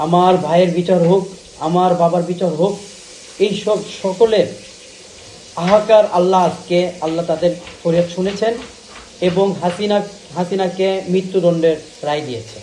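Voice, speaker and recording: A man with a Bangladeshi accent giving a heartfelt, devout reaction in short, halting phrases. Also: high-pitched, steady tone, warm tone, echoey room, a little hiss or hum, low-quality recording.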